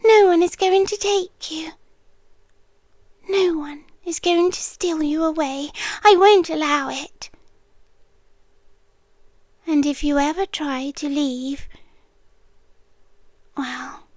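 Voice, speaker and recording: A woman's voice: sits high, dry recording. A British woman playing a centuries-old possessive monster lover in an ASMR roleplay, coaxing and sweetly menacing, often slipping into a whisper. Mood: soothing, possessive. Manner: slow, hushed, coaxing, often drops into whispers.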